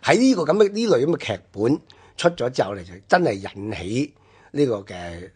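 Husky Hong Kong Cantonese man, discursive. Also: medium pitch, big pitch swings, a little mellow. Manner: halting, discursive, with drawn-out phrase-ending particles. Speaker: radio programme commentator. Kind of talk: unscripted explanatory history talk.

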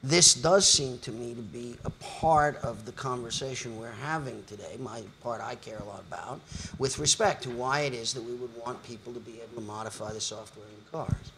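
A man's voice: mid-range voice, moderate pace. Older man, panel moderator, long articulate sentences, lecture hall, faint background hiss. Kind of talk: panel discussion.

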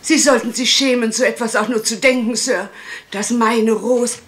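Woman acting high-voiced, protective servant mother. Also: steady tone, moderate pace, lightly acted. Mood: indignant. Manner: deferential, defensive. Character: deferential, protective mother in domestic service, easily indignant. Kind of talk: German radio crime drama acting.

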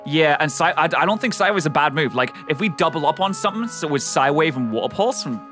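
Man on a headset call, quick and bright-toned, excitable, game music under him. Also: a little high. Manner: excitable, swears, laughs often. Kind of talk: casual gaming chat.

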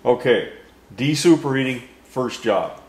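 An older man teaches at a slow, deliberate pace, stopping often and restarting his sentences with plenty of fillers. His voice sits in a middling register. The recording picks up a noticeable room echo from an off-screen camera mic. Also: mid-range voice, a little mellow. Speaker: HVAC instructor. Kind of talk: unscripted technical lesson. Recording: roomy echo.

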